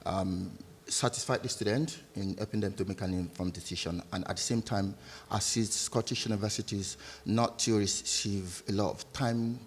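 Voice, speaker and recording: Low nigerian guy being interviewed, chuckles a lot, lots of ums. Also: fairly even intonation, normal speed, slight background noise. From Lagos, Nigeria. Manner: laughs easily, hesitant with many ums. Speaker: guest, business founder. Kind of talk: casual interview chat.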